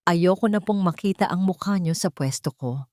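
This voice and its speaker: A woman voicing a firm, dignified young mother in an audio story, speaking in a cold but polite, steady way. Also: fairly low pitch, moderate pace, no echo, clean studio sound, lightly acted.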